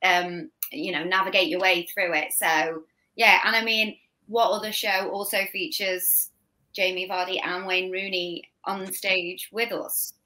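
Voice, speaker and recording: A woman's voice: on the low side, flat delivery, pushed and bright, rough recording. A young woman with a Scouse accent, an actress guest chatting about her role on a video call. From Liverpool. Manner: chatty, quick restarts, laughing asides. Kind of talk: casual interview chat. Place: home room, video call.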